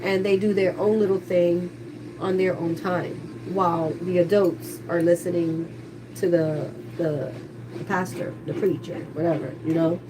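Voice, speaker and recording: A congested woman chats openly with her viewers in a home livestream, her voice a little stuffy. She sits back from the mic in a kitchen, and a busy household's noise carries clearly behind her. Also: lowish voice, fairly even intonation, a little slow, recorded from a distance. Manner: chatty, candid, talks to viewers. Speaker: livestreaming YouTuber. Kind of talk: casual livestream chat.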